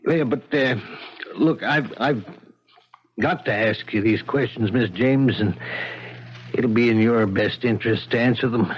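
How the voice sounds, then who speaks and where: An actor playing an earnest, polite police lieutenant who questions suspects haltingly in a 1970s radio drama. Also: male voice, mid-range voice, slight background noise, close to an everyday voice. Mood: gently probing. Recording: dull 1970s radio broadcast.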